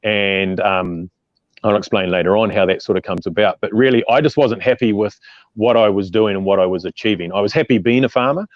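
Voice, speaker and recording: A man in his forties with a New Zealand accent, a mindset coach talking as a guest on a video call in a relaxed, plain-spoken way. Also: on the low side, brisk, no room sound. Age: 40s. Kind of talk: casual conversational interview. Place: outdoors by a brick wall. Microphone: earphone mic on video call. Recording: dry, dull video call audio.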